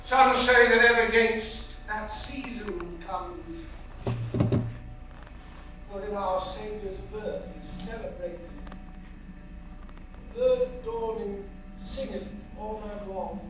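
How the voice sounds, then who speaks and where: An actor playing a reluctant, haunted older gentleman, formal, earnest and halting, on a theatre stage. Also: sits high, very animated, exaggerated voice. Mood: reciting. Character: reluctant, haunted elderly gentleman, formerly a lawyer. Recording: echoey theatre, far mic, faint noise.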